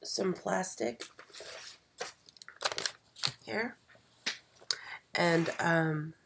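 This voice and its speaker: Hoarse woman with a gravelly, slow voice. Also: lowish voice, noisy background. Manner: slow, hesitant, many fillers, soft. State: losing her voice, sick. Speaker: craft channel host. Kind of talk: live stream craft demo. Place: craft table indoors. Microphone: overhead camera, hands only.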